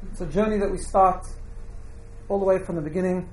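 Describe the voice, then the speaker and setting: A woman giving an informal welcome speech as an organiser, joking and somewhat halting as she talks. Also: fairly low pitch, fairly even intonation, a little mellow. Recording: echoey room, faint background noise.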